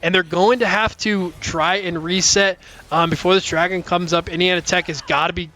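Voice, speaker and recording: A young man casting a live college esports match, calling plays quickly, with many fillers and sudden jumps in pitch at big plays. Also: steady tone, pushed and bright. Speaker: esports caster. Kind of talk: live play-by-play commentary. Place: college esports broadcast booth.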